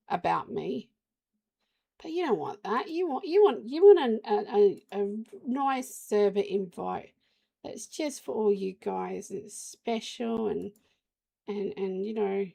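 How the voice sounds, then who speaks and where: A woman live streamer with the flu, hoarse, chatting casually and rambling to her viewers. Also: mid-range voice, expressive, unhurried, mellow.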